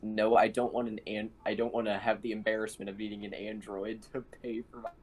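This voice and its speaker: A man's voice: on the low side, level tone, brisk, darkish tone, slightly rough. A man talking casually as a player in an online tabletop game. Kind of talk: casual chat. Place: online voice call. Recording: group chatter on voice call.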